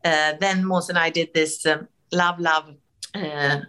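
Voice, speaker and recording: A middle-aged Swedish woman with a Swedish accent, telling animated stories in a casual interview, laughing often and full of fillers and restarts. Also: deep voice, slow pace, smooth. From Sweden. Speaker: TV host, interview guest.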